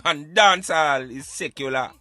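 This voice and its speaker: A man's voice: fairly high pitch, normal speed, pushed and bright, no echo, faint music behind. A man with a Jamaican accent hosting a talk show, giving emphatic, preaching commentary in Patois. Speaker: radio show host.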